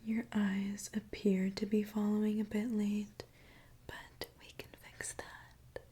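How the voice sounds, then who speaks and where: A woman softly whispering an ASMR roleplay as a mechanic, gently explaining each step to the listener. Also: medium pitch, monotone, husky. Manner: soft, gentle whispering, unhurried step-by-step narration.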